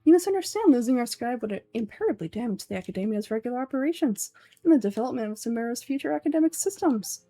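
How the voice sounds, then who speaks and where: Lady doing cold pompous old villain sage guy for a game, talks formal n condescending, voice on the dark side. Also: on the high side, animated, fast talker, dry recording. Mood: calculating. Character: pompous, menacing old male grand sage, coldly calculating villain. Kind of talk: amateur voice-over of game dialogue.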